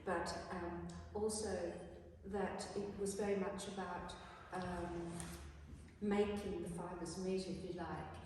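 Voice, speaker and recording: A woman interviewer asking gentle questions, hesitant and full of fillers as she shapes them. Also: fairly low pitch, steady tone, takes it slowly, slight background noise. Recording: roomy echoey hall, distant mic.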